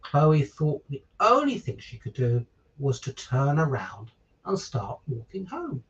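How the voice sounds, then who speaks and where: A man's voice: medium pitch, wildly swooping pitch, measured pace, distant mic, highs cut off. A man reading a children's picture book aloud, with chatty asides and questions to his listeners. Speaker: stand-in children's story reader. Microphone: virtual call, away from the microphone.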